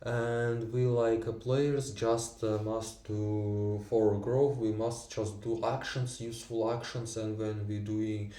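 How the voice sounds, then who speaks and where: A man who speaks Tatar and Russian natively, talking in halting English with many fillers and restarts in a personal vlog. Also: fairly low pitch, flat delivery, warm tone, echoey room, distant mic. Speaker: vlogger. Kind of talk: unscripted personal monologue.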